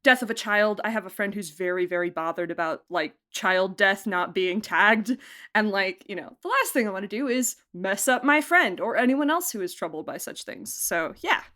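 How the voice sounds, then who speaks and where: A woman producer and director chatting casually in an interview, talking in an informal, emphatic and animated way. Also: medium pitch, normal speed.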